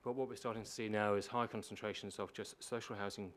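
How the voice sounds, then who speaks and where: A man with a low voice presenting a prepared submission at a public meeting, explaining and repeating points for emphasis. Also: steady tone, fast. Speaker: housing organisation manager presenting to a council. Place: council meeting chamber. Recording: meeting room with faint background noise.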